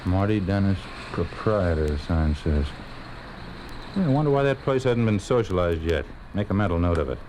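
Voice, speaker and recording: An actor playing a stern, suspicious communist secret police officer in a 1950s radio drama, curt and officious. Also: male voice, low-pitched, very animated, moderate pace, darkish tone, slight background noise, poor audio. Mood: irritated, suspicious.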